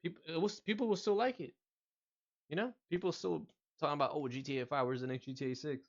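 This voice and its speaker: A man hosting a gaming reaction video, excitedly commenting over clips and calling everyone "dude". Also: fairly high pitch, fast talker, muffled recording. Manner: excitable, talks over the clip, says "dude" often. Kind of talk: casual live reaction commentary.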